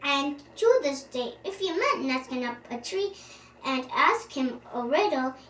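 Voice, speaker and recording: A young girl reading a children's storybook aloud in an animated, expressive way, now and then stumbling over words. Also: sits high, unhurried. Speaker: storyteller, channel host. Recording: roomy, distant microphone, faint background noise.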